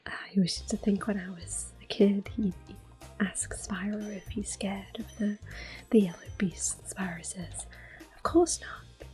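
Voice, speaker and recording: A woman whispering close to the mic as she calmly plays through an old game and recalls it fondly. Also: medium pitch, measured pace, poor audio. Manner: soft whispering, gently nostalgic. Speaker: ASMR gameplay host. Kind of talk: whispered ASMR game commentary. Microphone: close sensitive mic. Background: faint game music.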